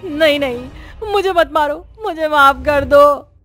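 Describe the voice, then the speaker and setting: Horror-story villager played by a woman: frightened wife, high-pitched, dramatic, music underneath. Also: takes it slowly, a bit bright. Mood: pleading. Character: frightened village wives facing a murderous witch.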